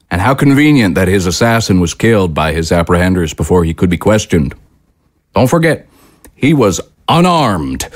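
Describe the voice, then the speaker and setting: Deep-voiced fervent patriot commentator acted by a man. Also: dry recording, studio quality. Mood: insinuating, sardonic. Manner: impassioned, oratorical, indignant. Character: fervent, aggrieved male patriotic political commentator. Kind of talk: audio drama monologue as political broadcast.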